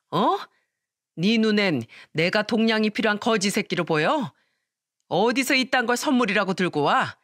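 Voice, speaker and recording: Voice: medium pitch, no room sound, studio quality, natural voice. A narrator putting on a stern old mother-in-law. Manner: sharp rebuking questions. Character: stern elderly mother-in-law, hurt and scolding.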